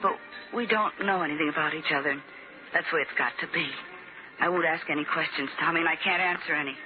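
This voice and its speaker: A woman's voice: low-pitched, fast, lightly acted. An actress playing a guarded but tender American chorus-girl dancer, earnest and coy, in an old-time radio drama. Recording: dull old radio recording with music.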